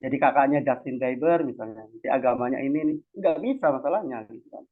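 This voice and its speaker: A man's voice: medium pitch, expressive, quick pace. A man from Central Java gives an informal lecture, chatty and slangy, often checking that listeners follow. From Cilacap, Central Java, Indonesia. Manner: conversational, repeatedly adds checking tags while explaining. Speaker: academic discussion host and speaker. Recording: tinny phone-like online audio.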